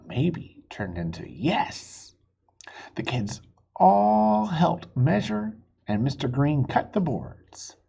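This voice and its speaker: A man reading a picture book aloud to children in an encouraging, husky voice, pausing to explain and ask questions. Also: fairly high pitch, warm tone, muffled recording. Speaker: children's story reader.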